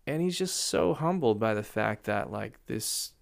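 Man, low voice, gravelly, casual storyteller. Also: measured pace. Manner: storytelling, animated with laughs. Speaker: guest speaker. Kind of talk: casual podcast chat.

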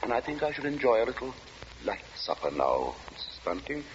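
Male role, acted: secretive, pious lodger, weary and formal, halting phrasing, dull reverberant radio-drama recording. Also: mid-range voice, big pitch swings, a little hiss or hum, natural voice. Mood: courteous, deliberate. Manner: formal, halting, quoting scripture solemnly, abruptly sharp. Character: weary, courteous, secretive lodger, religious zealot and abstainer. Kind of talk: old-time radio drama acting.

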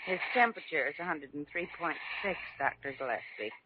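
An actor playing a dutiful, deferential hospital assistant in a 1940s radio drama. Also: male voice, fairly high pitch, lively intonation, brisk, natural voice. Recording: dull, noisy vintage radio recording.

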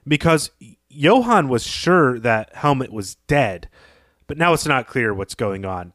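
A man's voice: medium pitch, dry recording, clean studio sound. A man co-hosting a podcast, analysing literature in a thoughtful, informal conversational style. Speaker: podcast co-host.

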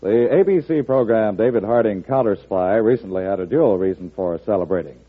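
A man's voice: fairly low pitch, a little fast, husky. A man working as a radio announcer, reading promotional copy in a formal 1940s style. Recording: tinny old radio broadcast.

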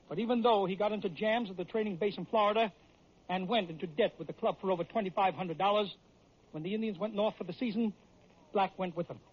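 A high-pitched, husky American man narrates sports tales like a classic radio host. His delivery is theatrical and emphatic, captured on a dull vintage radio recording. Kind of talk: dramatic scripted narration, old-time radio.

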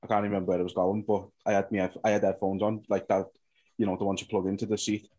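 A man's voice: fairly low pitch, flat delivery, fast talker, warm tone, breathy edge. A man co-hosting a casual chat over a video call, rambling with many fillers. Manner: rambling, chatty, hesitant fillers. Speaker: co-host.

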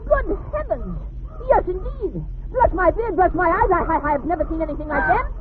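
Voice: very high voice, big pitch swings, normal speed, some chatter behind. An actor playing a flustered, absent-minded old professor who stammers and repeats himself pompously, in an old-time radio serial. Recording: muffled, noisy vintage radio broadcast recording.

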